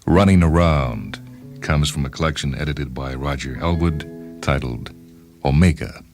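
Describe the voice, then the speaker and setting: A man's voice: extremely low pitch, normal speed. A man hosting a radio story program, reading scripted literary narration. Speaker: host and reader of a radio short-story program. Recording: music under the voice.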